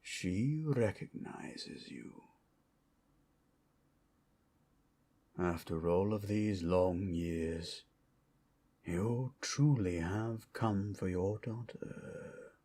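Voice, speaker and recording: Give this fantasy roleplay a proud, protective red dragon. The voice is very low and thick with gravelly creak, breathy at the edges. Every phrase comes extremely slowly, formal and grandiose, menacing yet capable of tenderness. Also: male voice, mellow, heavily acted. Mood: astonished. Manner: slow, grandiose, formal dramatic delivery. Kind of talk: improvised fantasy ASMR roleplay.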